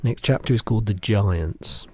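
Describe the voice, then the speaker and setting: A man's voice: on the low side, moderate pace, a little mellow, dry recording, studio quality. A man reading scripted narration from a children's storybook. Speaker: storybook reader.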